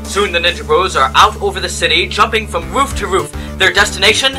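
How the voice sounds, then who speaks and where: A man's voice: high voice, a little fast. A man narrating an amateur cartoon in a dramatic storytelling manner. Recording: music bed under the voice.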